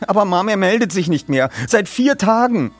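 A man voicing an eager, worried young boy who pleads excitably in an audiobook reading. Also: sits high, moderate pace, faint music behind, pitched above the performer's own voice. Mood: pleading, worried.

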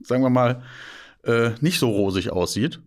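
A man's voice: mid-range voice, lively intonation, normal speed. Chatty man, Paris-born co-host. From born near Paris, raised by German parents. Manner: chatty, with many hesitations and fillers. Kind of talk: casual chat.

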